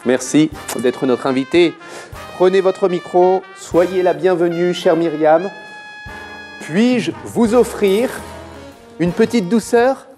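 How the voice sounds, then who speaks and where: A man's voice: sits high, slow, dark tone, soft background music. Playful, mock-formal talk show host man.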